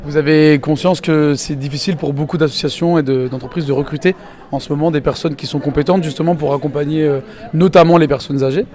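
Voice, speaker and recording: A man's voice: fairly high pitch, steady tone, fast, a little mellow. A man interviewing people in a casual, conversational way. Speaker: interviewer at an event. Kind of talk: casual street-style interview. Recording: outdoor event with crowd chatter behind.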